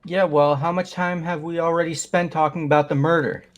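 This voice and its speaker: Man voicing a doubtful teen boy for a fan game dub; fairly high voice. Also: steady tone, brisk, dull audio, natural voice. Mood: skeptical. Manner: skeptical, challenging.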